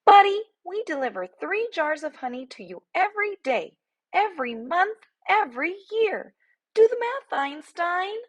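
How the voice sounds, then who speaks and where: A woman voicing a tiny, loud, bossy storybook bee who is indignant and sarcastic. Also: extremely high pitch, very animated, a little slow, pitched above the performer's own voice, full character voice. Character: very small loud bossy bee, fed-up worker. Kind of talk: storybook character voice.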